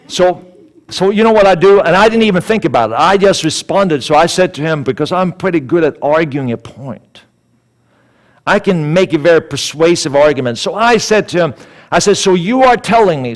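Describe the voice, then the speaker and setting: A man from Sweden with a Swedish accent, giving a sermon-style talk that tells a story and acts out the dialogue in it. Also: a little high. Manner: animated, acts out dialogue, repeats words. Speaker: speaker.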